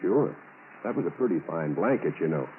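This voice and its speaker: An actor playing a talkative, obliging small-town storekeeper in a 1940s radio drama, with a folksy manner. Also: male voice, low voice, animated, a little fast, narrow, tinny audio. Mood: chatty, helpful. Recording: noisy, band-limited 1940s radio recording.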